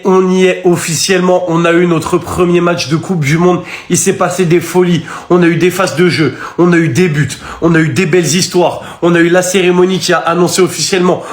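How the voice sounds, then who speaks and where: A man with a notably high voice reacts to football in a casual, slangy way. He is excitable and emphatic as he comments, recorded in an echoey room.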